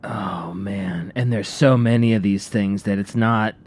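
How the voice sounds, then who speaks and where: A man co-hosting a radio show with casual, reactive banter, rambling and haltingly repeating his phrases. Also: lowish voice, a little mellow.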